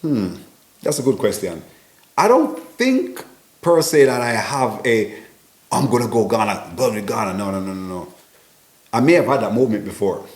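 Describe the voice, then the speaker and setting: A man with a strong Jamaican accent chats easily as an interview guest, his voice sitting low for a man. He keeps checking in with his listener as he talks. The room around him rings with a noticeable echo. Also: normal speed. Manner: chatty, relaxed, peppers talk with "you know what I mean". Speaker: interview guest, business owner in Ghana. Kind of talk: casual interview conversation.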